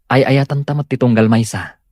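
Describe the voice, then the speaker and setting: Man acting a husky, sincere young suitor. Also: medium pitch, a little fast, a little mellow, no room sound, studio quality, lightly acted. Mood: earnest, determined. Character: sincere, determined young suitor of modest means. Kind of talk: Ilocano audio drama acting.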